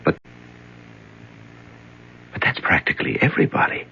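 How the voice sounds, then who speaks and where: An actor playing a baffled, suspicious man in a 1970s radio drama, halting and exasperated as he questions. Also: natural voice. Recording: dull old radio broadcast with hiss.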